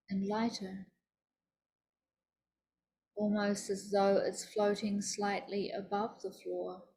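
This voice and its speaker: A woman teaching yoga nidra, leading a guided meditation in a soothing, deliberate, instructive manner. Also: medium pitch, warm tone.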